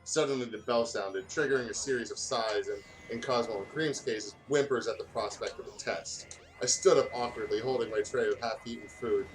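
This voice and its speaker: A man's voice: medium pitch, moderate pace, faint music behind, low-quality recording. A man who hosts let's plays, reading fan fiction aloud and chatting casually. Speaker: let's play host.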